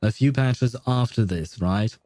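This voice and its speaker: A man's voice: mid-range voice, unhurried, dark tone. A man streaming a story game, chatting casually in a rambling, jokey way and laughing at himself. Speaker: gaming streamer. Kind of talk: casual live game commentary.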